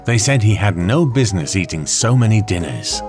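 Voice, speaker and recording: A man reading a scripted children's story in a measured, expressive way, with deliberate pauses. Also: lowish voice, over music. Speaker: storybook narrator.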